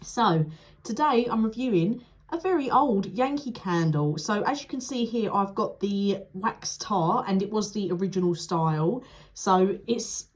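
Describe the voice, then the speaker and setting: A young woman talking through a candle review in a chatty, frank way. Her voice sounds nasal and blocked up from a cold, with the odd sniffle. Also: medium pitch. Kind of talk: casual product review vlog.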